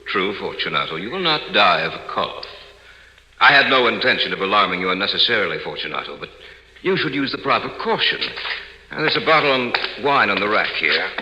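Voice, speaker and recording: An actor playing a vengeful nobleman who narrates his crime with deliberate, mock-solicitous menace in 1950s radio horror style. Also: on the low side, sing-song, bright, forceful tone, lo-fi sound, full character voice. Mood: falsely solicitous. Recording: echoey old radio drama, music behind.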